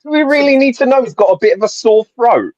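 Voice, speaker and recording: A man chatting about football on a live stream, excitable and laughing often. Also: extremely high pitch, quick pace, no echo, muffled recording. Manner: excitable, laughs often, says innit and mate. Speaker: panel guest. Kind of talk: casual football chat.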